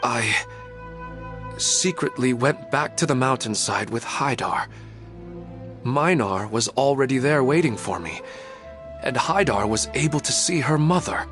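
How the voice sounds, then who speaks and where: A man's voice: medium pitch, animated, a little slow, pauses often, music in the background, natural voice. A man voicing an anxious, dazed father in a video game, speaking hesitantly and with a troubled manner. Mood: confused, worried. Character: anxious, dazed father searching his memory for his daughter.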